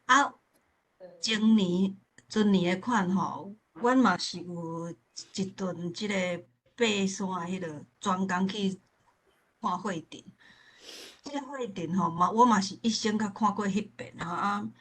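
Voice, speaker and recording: Chatty Taiwanese woman, medium pitch. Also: measured pace, fairly bright tone, husky. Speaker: forum participant. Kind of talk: casual anecdotal sharing.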